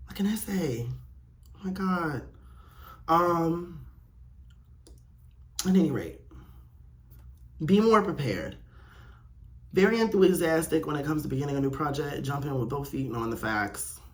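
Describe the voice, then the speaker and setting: A man's voice: medium pitch, fairly bright tone. A man hosting a casual solo podcast, reading aloud and reacting in a candid, sassy way with playful swearing. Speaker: podcast host. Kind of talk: casual chat reacting to a quiz read aloud.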